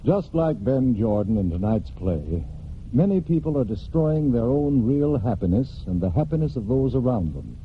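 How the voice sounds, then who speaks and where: Earnest man on tinny old radio. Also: medium pitch. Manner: earnest, measured oratory with pauses. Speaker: narrator of religious message. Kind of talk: scripted 1940s radio church message. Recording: thin, hissy 1940s radio recording.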